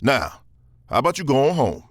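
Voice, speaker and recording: An actor playing a cocky, dangerous gunslinger in a Western audio drama, with a gravelly Southern American drawl and theatrical, taunting delivery. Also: male voice, mid-range voice, very animated, moderate pace, no room sound, clean studio sound. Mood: smug, needling.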